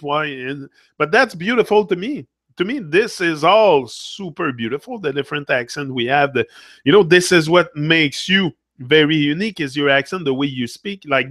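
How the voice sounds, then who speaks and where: A Québécois man, a native French speaker, chats casually on his livestream, answering viewers as he talks. His voice sits a little high and sounds close and dry, with no room around it. He slides between English and French mid-sentence, tagging his phrases with a French "là". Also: fairly bright tone, no room sound. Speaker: livestream host. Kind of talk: casual live-stream chat reading comments.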